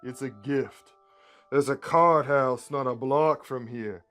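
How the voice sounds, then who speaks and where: Man acting sinister catfish card shark, big, Mississippi drawl, deep, unhurried, folksy persuader, fantasy story. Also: fairly bright tone, soft background music. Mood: persuasive. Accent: Mississippi southern drawl. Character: large sinister southern catfish gambler in a suit.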